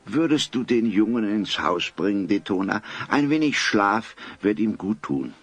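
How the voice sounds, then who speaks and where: An actor playing a dignified old grandfather in a German audio drama, speaking in a grave, formal, old-fashioned way with a husky voice. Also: fairly low pitch, big pitch swings, a little slow, no echo, faint music behind. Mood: courteous. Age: older.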